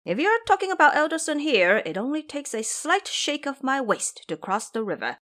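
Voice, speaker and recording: Cocky male monkey hero for audio drama, played by a woman: high, theatrical, boastful, very animated. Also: moderate pace. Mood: cocky concern. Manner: theatrical, boastful, addressing elders and juniors.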